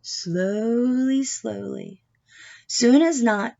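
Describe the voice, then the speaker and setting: A woman with a fairly high voice teaches young children through a picture book. She speaks slowly in short, instructive phrases and puts expression into the reading. The recording sounds slightly dull and lo-fi. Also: vocal fry, dark tone. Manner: instructive, asking questions and answering them. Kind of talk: read-aloud lesson for young children.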